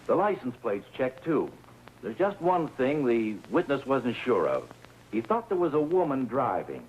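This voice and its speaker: Dry 1950s police lieutenant, noisy soundtrack. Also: male voice, medium pitch, sing-song, darkish tone, dull audio. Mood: firm, confronting. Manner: measured, dry, probing questioning. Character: calm, probing male police lieutenant, drily sardonic. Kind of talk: 1950s television crime drama acting.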